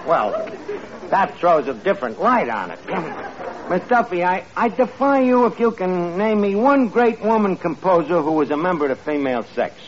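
Cocky new york barkeep from 40s radio comedy, bad grammar, highish voice, noisy old recording. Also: male voice, very animated, moderate pace, a faint crowd. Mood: scornful, combative. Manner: wisecracking, ungrammatical, self-important. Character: gruff, wisecracking, uneducated tavern manager fancying himself a composer. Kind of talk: 1940s radio comedy acting. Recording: muffled old radio broadcast, noisy, studio audience.

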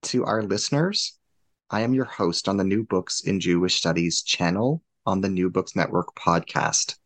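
A man with a very deep, gravelly voice hosts a podcast, earnest and warmly effusive in his thanks. The sound is dry and close. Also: normal speed, no room sound. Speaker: podcast host. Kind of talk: podcast interview.

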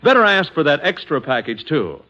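A man's voice: on the high side, big pitch swings, moderate pace. An American man announcing in the emphatic, folksy sales style of 1940s radio advertising. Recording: dull vintage 1940s radio recording.

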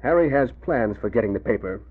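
A man playing a smug, calculating racketeer who speaks in an unhurried way, in 1940s radio acting. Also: mid-range voice, animated, slightly rough. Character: scheming male racketeer, smug and cold. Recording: tinny old radio broadcast.

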